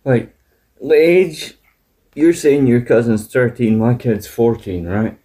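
A man's voice: medium pitch, expressive, takes it slowly, warm tone. A man in his late thirties, chatting casually as a podcast co-host, with teasing banter and some swearing. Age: 30s. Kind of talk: casual chat.

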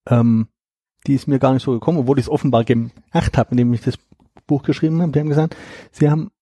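A man being interviewed about his book, talking in a relaxed, hesitant way with repeated words and fillers. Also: mid-range voice, quick pace, breathy edge, dry recording. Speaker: guest, author of a book on stuttering. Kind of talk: conversational interview.

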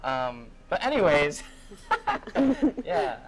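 A man's voice: a little high, sing-song, extremely slow, a crowd in the background, poor audio. A young man interviewing someone in a casual chat on a cruise ship, rambling with lots of fillers and frequent laughs.

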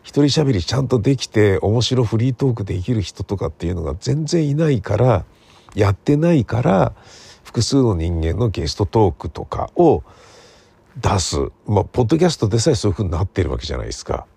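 Low, gravelly man in his sixties. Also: big pitch swings, fairly quick, warm tone, no room sound. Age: 60s. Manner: rambling, musing, colloquial. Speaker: solo podcast host. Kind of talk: casual solo free talk.

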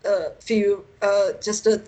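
A woman with a Chinese accent and a medium-pitched voice talks casually as an interview guest over an internet call. Chinese is her native language, so her English comes haltingly as she searches for words, and she often chuckles. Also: steady tone, mellow. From China. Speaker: interview guest, science fiction writer and translator.

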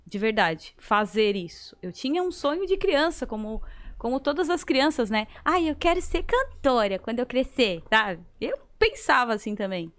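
A woman's voice: fairly high pitch, sing-song, normal speed, fairly bright tone. A woman with a southern Brazilian accent from Santa Catarina, chatting casually as a singer guest and acting out remembered dialogue. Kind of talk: casual conversational storytelling.

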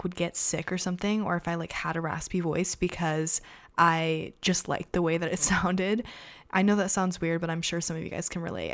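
A young woman talks through her solo podcast in a loose, rambling chat, leaning on plenty of fillers. Her voice is raspy from a sore throat. It also sits a little lower than most women's. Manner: chatty, filler-heavy, rambling. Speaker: podcast host.

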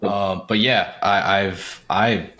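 A man with an American accent hosts in a low-pitched voice. His delivery is casual and informal, full of teasing and jokey asides. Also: steady tone, slow pace, pushed and bright. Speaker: podcast host. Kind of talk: casual chat.

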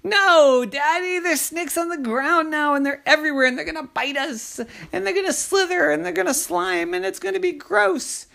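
A storyteller voicing an excitable, frightened young boy in a breathless, pleading way. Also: mid-range voice, measured pace, pitched above the performer's own voice, heavily acted. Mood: panicked.

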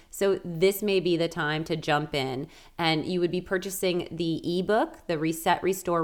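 Upbeat low-voiced woman podcast host. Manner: upbeat, explanatory, lists advice and products. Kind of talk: casual chat and product promotion.

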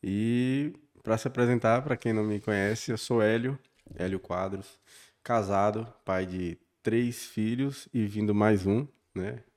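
A man in his forties with a Bahian accent speaks as a podcast guest. His voice sits on the low side, and he tells his story earnestly, often tagging his sentences with little questions. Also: a little slow. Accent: Bahian Brazilian Portuguese. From Bahia, Brazil. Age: 40s. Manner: warm and earnest, frequent tag questions. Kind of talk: casual interview storytelling.

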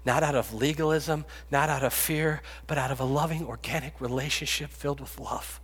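A man in his fifties preaching a sermon, rising to loud pleading and dropping to hushed whispers. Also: fairly high pitch, fairly even intonation, a little fast, breathy edge. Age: 50s. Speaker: pastor. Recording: long reverb, echoey hall.

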